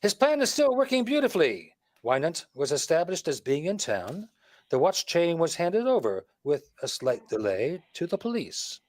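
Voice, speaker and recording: A man performs a shrewd, confident detective from a 1940s radio mystery. His fairly high voice moves animatedly as he lays things out with emphasis and a wisecracking edge, in a dry, close sound. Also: big pitch swings, normal speed, no echo. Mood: confident, explanatory. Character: shrewd, wisecracking male detective laying out a murder case.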